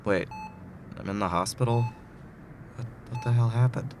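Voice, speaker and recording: A man's voice: mid-range voice, moderate pace, noisy background, close to an everyday voice. A man acting in an audio drama as a wronged, resolute man who outwits his tormentor in a time loop. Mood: confused. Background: loud music bed.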